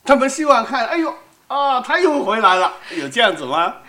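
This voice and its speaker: Woman preacher with a Cantonese accent, lively and conversational, pauses for her interpreter. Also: a little high. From Hong Kong. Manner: conversational, asks the audience questions. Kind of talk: sermon with live interpretation.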